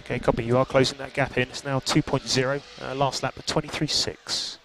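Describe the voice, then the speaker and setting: A man working as a race engineer, calmly reading out gaps and lap times over team radio. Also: mid-range voice, fairly quick, creaky, crowd noise. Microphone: headset radio. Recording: noisy team radio line.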